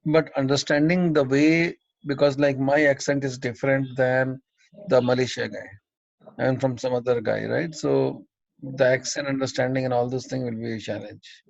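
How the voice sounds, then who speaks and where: A man's voice: medium pitch, steady tone, normal speed, vocal fry, no room sound. A man chatting casually as an interviewer, prompting with short agreements. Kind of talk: casual technical conversation. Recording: dry, dull lo-fi recording.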